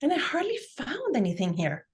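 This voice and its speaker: A woman's voice: sits high, wildly swooping pitch, brisk. A woman from Guatemala, telling her story conversationally as an interview guest, in an enthusiastic, emphatic way. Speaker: guest, family constellations practitioner and life coach.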